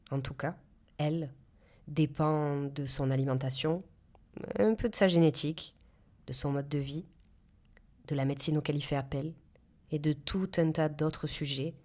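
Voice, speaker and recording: Low-voiced woman with a southern French accent. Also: darkish tone. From south-east France. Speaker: podcast host. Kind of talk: scripted solo podcast narration.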